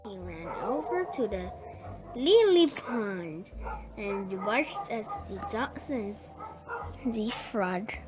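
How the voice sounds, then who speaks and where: A woman reading a children's bedtime story aloud in short, halting phrases, word by word. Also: medium pitch, very animated. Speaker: story reader. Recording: music playing behind the voice. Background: music.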